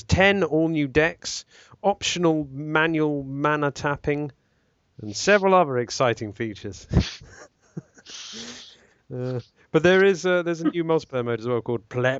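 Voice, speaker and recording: A man hosting a casual podcast chat, hesitant and rambling with many pauses. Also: medium pitch, smooth, dry recording. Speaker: podcast host. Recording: dull lo-fi, dry.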